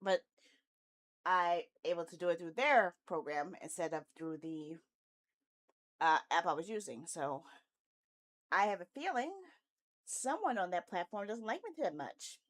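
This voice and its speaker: A woman's voice: fairly low pitch, lively intonation, unhurried, long pauses. A woman hosting a casual gaming livestream, talking in a rambling, candid, grumbling way. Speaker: video game livestreamer, host.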